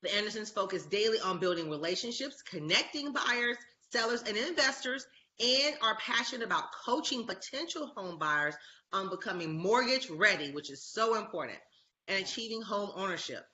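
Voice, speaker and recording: A woman's voice: medium pitch, lively intonation, moderate pace, sharp tone, muffled recording. A woman hosting an online talk show, enthusiastic and gushing as she reads a guest bio, her voice a little scratchy. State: slightly scratchy voice. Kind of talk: casual interview chat.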